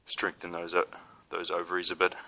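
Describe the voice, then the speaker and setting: A man's voice: deep voice, monotone, moderate pace, bright, forceful tone. A young man with a New Zealand accent talks casually to camera, hesitant, with many ums and restarts. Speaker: spiritual vlogger.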